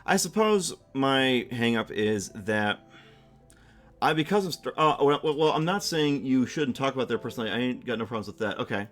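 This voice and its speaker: A man's voice: medium pitch, animated, fairly quick, a bit bright, faint music behind. A young man streaming and chatting casually, musing aloud with lots of restarts and fillers. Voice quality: masculine voice. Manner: rambling, reflective, many restarts and fillers.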